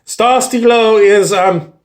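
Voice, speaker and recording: A man giving an informal talk, rambling through anecdotes in a casual, animated way and acting out other people. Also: high voice, slow. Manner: animated, acts out imagined people's complaints. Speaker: speaker giving an informal teaching.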